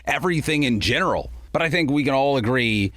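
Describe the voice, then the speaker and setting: A man's voice: mid-range voice, lively intonation, quick pace, a bit bright, dry recording, clean studio sound. An American man working as a radio DJ, talking in a casual, chatty, teasing way between songs. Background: music beds behind the voice.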